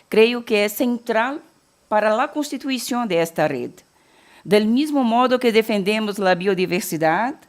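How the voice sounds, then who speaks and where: A Brazilian woman from Bahia giving an academic keynote lecture, blending Portuguese and Spanish with frequent self-corrections and restarts. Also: mid-range voice. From Salvador, Bahia, Brazil.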